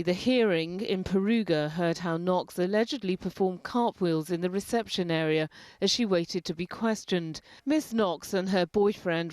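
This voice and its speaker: A woman reporter voices a news package in a steady, formal tone. Her voice sits a little low for a woman. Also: dry recording.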